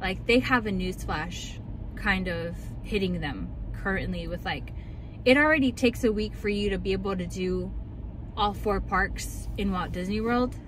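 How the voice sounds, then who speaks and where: A woman's voice: mid-range voice, normal speed, noisy background. A young woman vlogger chatting and ranting casually in a car, full of likes and ums. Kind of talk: casual chat.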